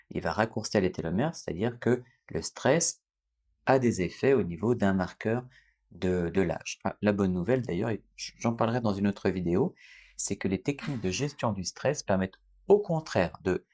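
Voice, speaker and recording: A man in his forties, a doctor from France, explaining a topic to his viewers in an unscripted way, pausing often to correct himself and adding fillers. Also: sits low, darkish tone. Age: 40s. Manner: explanatory, many hesitations and self-corrections. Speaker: doctor and channel host. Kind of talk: unscripted educational talk to camera.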